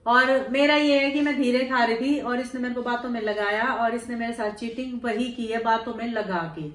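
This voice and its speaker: A woman's voice: on the high side, fairly even intonation, normal speed. A woman in her forties, a mother vlogging casually on a sofa at home, teasing and laughing as she talks to viewers, with a cold and a sore throat. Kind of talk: casual family vlog chat. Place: living room, indoors, on a sofa. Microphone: fixed camera a few feet away. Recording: echoey room.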